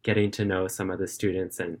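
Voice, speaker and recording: A young man in his late teens answers interview questions over a video call. He talks in a relaxed, earnest way, often hedging with fillers. His voice sits somewhat low. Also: fairly quick, slight background noise. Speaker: remote English tutor and high school student.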